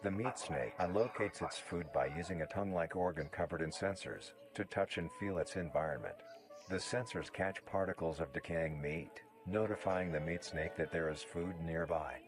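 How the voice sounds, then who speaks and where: A man reading scripted nature documentary narration in a formal, measured manner. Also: low voice, over music.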